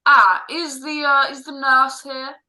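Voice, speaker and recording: Lady playing a composed polite doctor, high sharp bright voice, radio drama acting. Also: flat delivery, unhurried, full character voice. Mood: polite, brisk. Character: composed, reassuring family doctor on a house call. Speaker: amateur radio drama actor.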